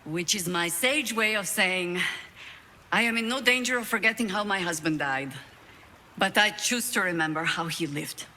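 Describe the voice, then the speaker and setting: An actress playing a battle-worn older woman druid in a video game, proud, wry and grieving, speaking in a measured, eloquent, dramatic way. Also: mid-range voice, pushed and bright, slight background noise, close to an everyday voice. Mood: wry, tender.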